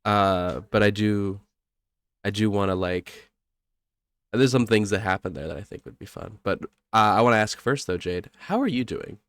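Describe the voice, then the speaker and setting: A congested young man co-hosts a casual podcast, his voice low and smooth under the stuffiness. He rambles and corrects himself as he goes. The sound is close and dry, with no room around it. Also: normal speed, a bit bright, no room sound. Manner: rambling, self-correcting, laughs often. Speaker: podcast co-host.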